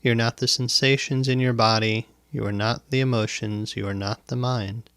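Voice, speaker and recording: A man guiding a meditation as a teacher, speaking in deliberate, instructive phrases with pauses and repetition, close to the microphone. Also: mid-range voice, steady tone, a bit bright, clear, resonant tone, no echo. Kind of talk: guided meditation, spoken instruction. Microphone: close microphone, dry sound.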